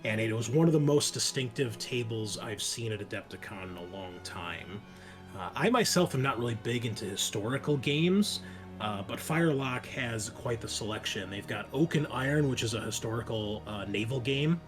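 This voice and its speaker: A man hosting a show, giving a chatty, informal introduction in a casual style. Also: medium pitch. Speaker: podcast host.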